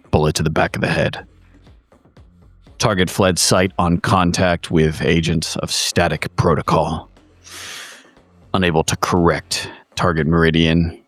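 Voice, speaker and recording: Really low guy voice, deadpan clipped recap for an rpg podcast, dry sound. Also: no echo. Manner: terse, deadpan. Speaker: player in a tabletop roleplaying game. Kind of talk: deadpan mission-report recap.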